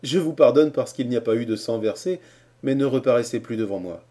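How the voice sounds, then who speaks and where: A man's voice: medium pitch, brisk, mellow, pitched above the performer's own voice, close to an everyday voice. A man voicing a spirited young woman who speaks with light teasing.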